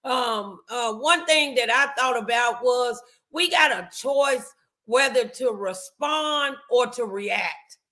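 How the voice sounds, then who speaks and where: A middle-aged American woman hosting a motivational talk show, speaking in an emphatic, deliberate way. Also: fairly high pitch, sharp tone.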